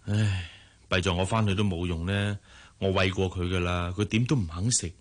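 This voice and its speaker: A man's voice: deep voice, steady tone, moderate pace, lightly acted. A man with a Hong Kong Cantonese accent acting in a radio drama as a worried, exasperated father and boss who sighs as he talks. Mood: weary, exasperated. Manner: sighing, exasperated.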